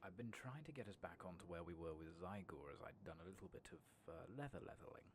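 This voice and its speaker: A man with a very low, gravelly voice talks softly through a video game in an ASMR style. He is hushed and breathy, often drifting into whispers as he rambles gently along. There is clear background noise behind him. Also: fast talker. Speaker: gaming streamer. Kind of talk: soft-spoken ASMR game playthrough commentary, often dropping to a whisper.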